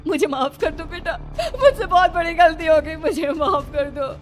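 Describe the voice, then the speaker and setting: A woman's voice: very high-pitched, moderate pace, soft background music. A woman playing a guilt-ridden, desperate mother in a cartoon story, pleading dramatically. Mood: tearful, remorseful. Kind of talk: Hindi cartoon story acting.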